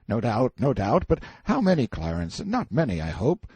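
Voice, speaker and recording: A man's voice: mid-range voice, wildly swooping pitch, moderate pace, a little mellow, breathy edge, no room sound, full character voice. A man playing a brash, self-assured man stranded in a medieval court, blunt, emphatic and colloquial, in an audiobook story. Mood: beseeching.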